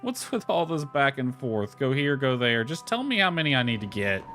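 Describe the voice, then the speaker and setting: A man streams a video game in an informal, off-the-cuff way. His voice sits at a middle pitch, and he mutters asides to himself as he plays. Soft music runs behind him. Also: very animated, moderate pace. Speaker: gaming streamer. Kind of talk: casual gameplay commentary, reading game dialogue aloud.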